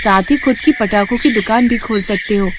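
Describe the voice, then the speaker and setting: A woman voicing a grateful, gentle fairy in an animated moral story, speaking earnestly and graciously. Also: mid-range voice, moderate pace, noisy background, pitched above the performer's own voice, natural voice. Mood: kindly granting. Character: grateful gentle female fairy freed from a bottle.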